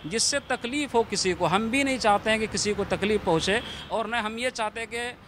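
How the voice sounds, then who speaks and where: High-voiced man, an imam answering formally. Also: moderate pace, background noise. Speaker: mosque imam, interviewee. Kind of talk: measured interview answers.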